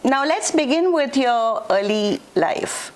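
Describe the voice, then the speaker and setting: A woman's voice: fairly high pitch, expressive. Effusive woman interviewing on television. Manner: effusive, quick affirmations. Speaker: talk show host.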